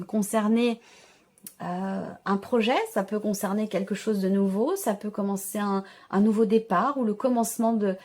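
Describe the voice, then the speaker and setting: A woman's voice: mid-range voice, a little mellow. A woman giving a conversational tarot reading to her viewers, reassuring them and often circling back over her phrases. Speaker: tarot reader, channel host.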